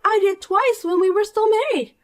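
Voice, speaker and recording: A woman's voice: very high voice, normal speed, pitched above the performer's own voice. A woman voicing a shallow, selfish, jealous young woman in a dramatized story, accusing and self-pitying. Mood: whiny.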